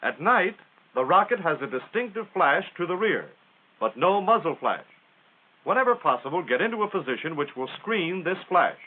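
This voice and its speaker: A man's voice: sits high, big pitch swings, moderate pace. An American man narrating a 1940s military training film in a plain-spoken, instructional way with folksy phrasing. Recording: tinny, band-limited 1940s film soundtrack.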